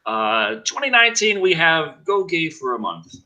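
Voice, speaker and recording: Guy in his 30s hosting a livestream, super bright animated voice, jokey. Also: fairly high pitch, moderate pace, highs cut off. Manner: animated, joking, reads chat donations aloud. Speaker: host of a livestream show. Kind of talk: casual livestream chat.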